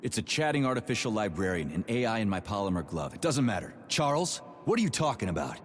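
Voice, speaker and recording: In a long, reverberant space, a gruff Soviet major with a low, husky voice. He is brusque, sarcastic and irritable, swinging widely in pitch like a cynical game hero. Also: sing-song, fast, noisy background. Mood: agitated, confused. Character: gruff, foul-mouthed, cynical Soviet special agent major. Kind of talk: video game cutscene acting. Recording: long reverberant hall sound.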